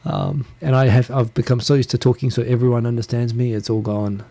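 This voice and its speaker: Man, New Zealand accent, dark mellow tone, rambling self-deprecating chat, close handheld mic. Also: medium pitch, little pitch movement, gravelly. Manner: rambling, self-deprecating, talks fast with restarts. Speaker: livestream host. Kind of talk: casual solo live chat. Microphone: handheld USB recorder mic close. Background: computer fan hum.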